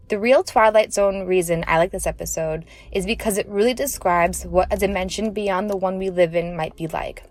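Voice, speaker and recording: A woman's voice: mid-range voice, no room sound. A woman giving a prepared personal commentary as a listener contributing to a podcast.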